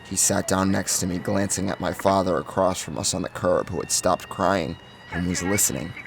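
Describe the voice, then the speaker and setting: A man's voice: sits low, fairly even intonation, moderate pace, gravelly, noisy background. A man narrating a first-person horror story with an intense, emotive, dramatic delivery. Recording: music behind the voice.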